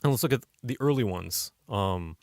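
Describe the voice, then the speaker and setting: A man's voice: lowish voice, lively intonation, moderate pace, a little mellow, dry recording, studio quality. A man co-hosting a podcast in casual discussion, questioning and debating his points. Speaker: podcast co-host.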